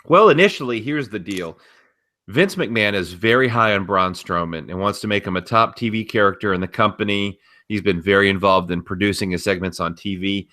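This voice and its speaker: A man with a fairly low, very bright and forceful voice talks through wrestling news as a podcast co-host. His delivery is casual but blunt and opinionated, with profanity thrown in freely. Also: a little fast.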